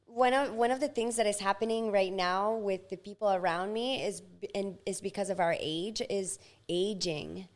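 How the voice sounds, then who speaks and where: A woman in her thirties, a podcast guest, speaking reflectively and at times slowly as she thinks aloud. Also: mid-range voice. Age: 30s. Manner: reflective, slow and thoughtful at times. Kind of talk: casual interview chat.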